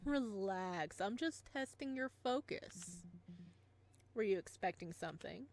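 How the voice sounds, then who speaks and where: A woman's voice: medium pitch, unhurried, a little hiss or hum. Woman voicing a cold, clipped male therapist. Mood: quietly teasing. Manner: flat, clipped, sharp. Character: cold, controlling male therapist running eerie ritual sessions.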